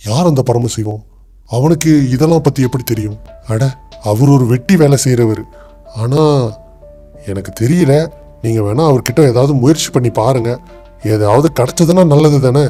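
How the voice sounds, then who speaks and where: A man playing formal, authoritative figures of an old Indian kingdom in an animated moral tale. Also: medium pitch, moderate pace, long pauses, faint music behind, close to an everyday voice. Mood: puzzled, serious.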